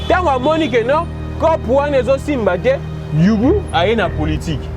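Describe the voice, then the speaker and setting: A high-pitched man with a Congolese French accent delivers outspoken political commentary in an emphatic, forthright way. He slips between two languages as he makes his points. Music plays clearly in the background. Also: expressive, normal speed. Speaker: guest commentator.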